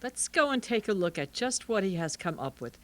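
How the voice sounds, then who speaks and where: An imperious female scientist, played by a woman in a golden-age radio play. Her pitch swings widely and dramatically, full of stubborn, competitive pride. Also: big pitch swings, moderate pace, natural voice. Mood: bitter, scheming. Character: proud, competitive woman scientist, bitter rival, stubbornly undefeated.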